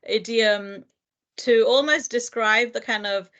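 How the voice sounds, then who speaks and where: A woman teacher and lecturer answering interview questions in a thoughtful, earnest way, with frequent fillers and soft laughs. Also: fairly high pitch, slow pace, lo-fi sound. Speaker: guest, primary teacher and lecturer. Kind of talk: reflective interview conversation.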